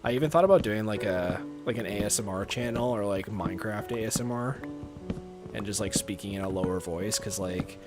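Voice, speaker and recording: A man's voice: brisk, music in the background. A young man with a North American accent streaming a game and chatting casually, rambling with lots of "like" and easy laughs. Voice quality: low natural voice, chill voiceover tone. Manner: rambling, many "like" fillers, laughs often. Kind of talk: casual livestream chat.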